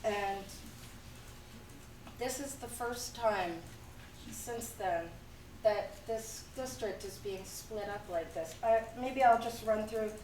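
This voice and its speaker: A woman with a hoarse voice from a cold, giving a public comment at a town meeting from notes. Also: fairly high pitch, a little mellow. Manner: reading from notes, brisk but halting. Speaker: school board candidate. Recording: echoey room from far off, faint noise.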